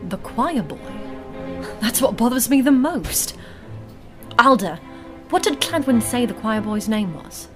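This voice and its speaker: A woman playing a wary, analytical adventurer in a fantasy audio drama, reasoning in a measured, formal manner. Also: fairly high pitch, lively intonation. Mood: suspicious. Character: analytical, wary female adventurer, composed and suspicious. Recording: music behind the voice. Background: music.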